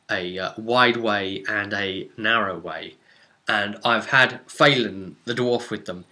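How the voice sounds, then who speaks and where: A man's voice: on the low side, sharp tone. A man recounting a tabletop role-playing session as game master, informal and rambling, with descriptive detail and dramatic emphasis on key words.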